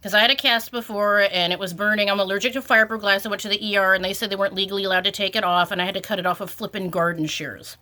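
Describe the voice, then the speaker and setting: Bright-voiced woman ranting with lots of fillers. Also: medium pitch, steady tone, very fast, few pauses. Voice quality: sniffly. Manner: rambling, exasperated, lots of "like" fillers. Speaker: vlogger. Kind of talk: ranting casual vlog.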